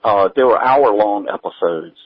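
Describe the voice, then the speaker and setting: Halting low-voiced man, dull recording. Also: no echo. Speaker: guest, audio drama writer and producer. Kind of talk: casual interview chat.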